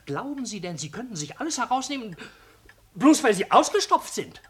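A woman playing a tiny, irascible old shopkeeper in a radio play, with a thin, sharp voice and pompous, indignant speech. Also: a little high, sing-song, moderate pace, slightly rough, exaggerated voice. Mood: outraged. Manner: indignant, theatrical, pompously formal address. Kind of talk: German radio play acting.

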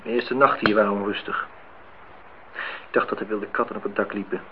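An actor playing a brooding, insistent, melancholy engineer in a radio play. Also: male voice, lowish voice, flat delivery, fairly quick, natural voice. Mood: brooding, wistful. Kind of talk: radio play dialogue and monologue. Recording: muffled, noisy old radio-play recording.

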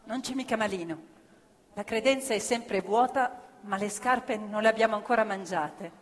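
A woman's voice: mid-range voice, moderate pace, natural voice. A woman doing a stoic, wry worker's wife. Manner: plain, dry, wry understatement. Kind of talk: stage play. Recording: live theatre with long reverb.

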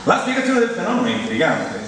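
A middle-aged man with a Roman Italian accent delivers a humorous theatrical monologue in a conversational, expressive way, pausing for effect. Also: on the high side. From Rome, Italy. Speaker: comic monologist. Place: theatre stage in front of an audience. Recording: very echoey hall, distant mic, noisy.

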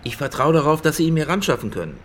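A man's voice: fairly high pitch, sing-song, racing, a little hiss or hum. An actor playing an imperious, slippery businessman client in a radio crime drama, brusque and insistent, with a joking streak. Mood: insistent, pressing.

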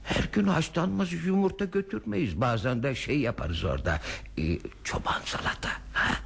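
An actor playing an older man in a radio play, a handy, dreamy enthusiast who coaxes and reassures in a husky voice. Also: a little high, normal speed, fairly bright tone, full character voice. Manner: coaxing, reassuring. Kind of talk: radio theater acting.

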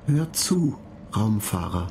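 An actor in a German radio play voicing a cool, superior computer mind, reciting in a detached, measured way. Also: male voice, lowish voice, sing-song, vocal fry, raised voice, background noise, full character voice. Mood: condescending. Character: cool, superior computer mind lecturing human space travellers. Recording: music behind the voice.

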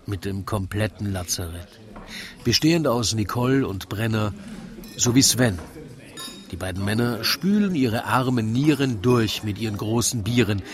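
A man reading a comic rhymed narration in a wry, theatrical, measured way. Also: medium pitch. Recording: lively crowd chatter behind. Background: crowd murmur.

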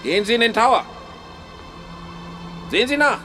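Theatralischer, getriebener Warner mit stark schwankender Stimme. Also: male voice, very high voice, expressive, moderate pace, pushed and bright, faint music behind, full character voice. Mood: agitated, urgent. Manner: formal, theatrical, insistent, erratic swings. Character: agitated male insider warning of a murderous system. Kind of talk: science-fiction radio drama acting.

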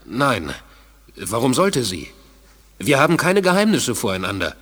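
An actor playing a courteous, somewhat guarded alien leader in a science fiction radio drama. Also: male voice, mid-range voice, huge pitch swings, a little slow, fairly bright tone, breathy edge, full character voice. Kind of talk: 1980s German radio drama acting.

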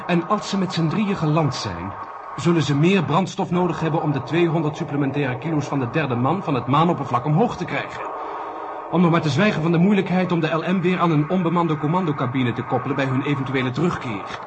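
A man's voice: fairly high pitch, a little fast, dull audio, lightly acted. A man playing a pessimistic technical expert in a 1970 radio drama, formal, precise and sombre. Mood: grave. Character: grave, pessimistic male technical expert briefing superiors. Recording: noisy 1970 radio recording.

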